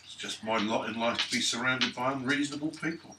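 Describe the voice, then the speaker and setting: A man's voice: medium pitch, fast talker. A British man in casual tabletop roleplay, arguing in a blunt, dry manner. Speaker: tabletop game player. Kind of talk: casual in-character roleplay banter. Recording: echoey room, distant mic, noisy, clicks.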